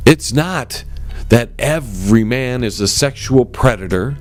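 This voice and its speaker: A man hosting his own talk show, with a strong voice, delivering a forceful, animated opinion monologue straight to his listeners. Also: a little slow, soft background music. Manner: animated and forceful, swings up in pitch; addresses listeners as ladies and gentlemen.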